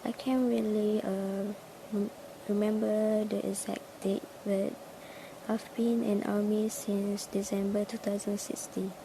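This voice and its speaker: A young woman in her twenties answers interview questions slowly and hesitantly. Her pitch stays flat and even. A clear layer of background noise runs under her voice. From Malaysia. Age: 20s. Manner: hesitant, many fillers and restarts. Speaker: fan base head admin.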